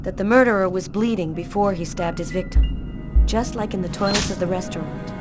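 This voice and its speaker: An actress playing a serious, methodical police detective in a matter-of-fact way for a video game drama. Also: female voice, mid-range voice, brisk, long reverb tail, over music, natural voice. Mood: analytical, curious.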